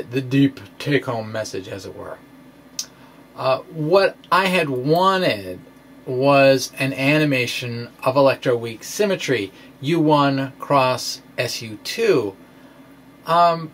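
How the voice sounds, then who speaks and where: A man giving an informal science explainer in a folksy, conversational way with theatrical emphasis. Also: a little high. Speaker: science blogger presenting own work. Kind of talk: informal explanatory lecture, dramatic reading of blog post.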